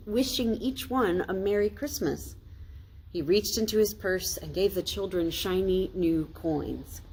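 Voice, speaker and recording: A woman pastor reading a storybook aloud in an expressive children's storytime style. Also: on the low side, slight background noise, poor audio.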